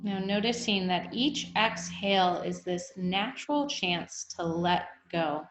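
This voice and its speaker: Slow-paced woman guiding meditation, echoey room. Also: mid-range voice, faint music behind. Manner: unhurried, gently instructive with long pauses. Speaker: meditation guide. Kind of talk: guided meditation, body scan.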